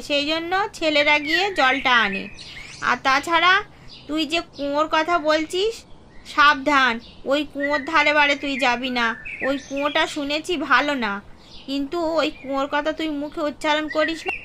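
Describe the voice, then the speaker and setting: Casting: protective, bossy cartoon village mom played by a woman, high forceful voice, firm and cautioning. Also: slight background noise. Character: strict, protective village mother, warning and bossy. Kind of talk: cartoon horror story dialogue.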